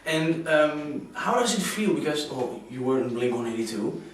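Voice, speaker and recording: A man's voice: mid-range voice, animated, normal speed, a little hiss or hum. A man with a Dutch accent from the Netherlands, a fan asking interview questions hesitantly while searching for his words. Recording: echoey room, far from mic.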